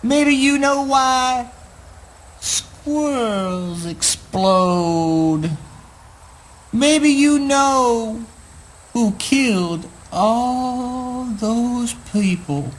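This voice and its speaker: An actor playing an eccentric, childlike, morbid son in a spoken word play, in a singsong, drawn-out, taunting manner. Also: male voice, extremely high pitch, lively intonation, full character voice. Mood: taunting, accusing.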